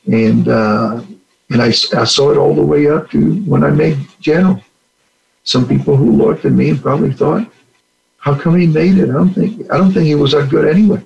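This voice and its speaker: Gravelly older man telling stories. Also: mid-range voice, rough recording. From Puerto Rico. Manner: earnest, animated when acting out dialogue. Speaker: retired general, guest. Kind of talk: storytelling interview answers.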